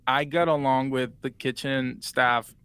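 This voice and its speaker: A man's voice: medium pitch, fairly even intonation, measured pace, fairly bright tone, smooth, dry recording. A man in his twenties from Puerto Rico, with a Spanish accent, telling his story as an interview guest in a rambling way with many fillers. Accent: Puerto Rican Spanish. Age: 20s. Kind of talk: casual interview chat.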